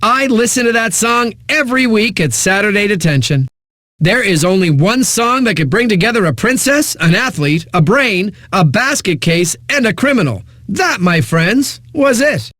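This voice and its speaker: Cocky male game radio DJ, high-pitched, animated, flippant, punchy eighties music patter. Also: no echo, studio quality. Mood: smug, hyped. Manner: smug, punchy announcer delivery with dramatic pauses. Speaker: radio DJ, host.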